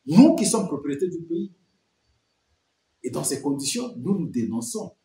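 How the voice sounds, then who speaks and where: A man's voice: fairly high pitch, big pitch swings, unhurried, warm tone, reverberant, a little hiss or hum. A man giving emphatic political commentary, full of rhetorical questions and repeated phrases.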